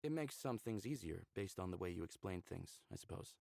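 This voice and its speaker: Visual novel human lead, candid and curious, casual flirty delivery, lowish gravelly voice, very dry sound. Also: male voice, animated, fairly quick, a little mellow, dry recording.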